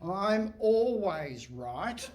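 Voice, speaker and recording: An actor playing a curt, pompous and impatient police inspector in a comic radio play on stage. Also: male voice, high-pitched, very animated, very slow, exaggerated voice. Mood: bossy, pompous. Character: bossy, impatient, exasperated male police inspector. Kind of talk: comic live radio theatre. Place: hall stage before live audience.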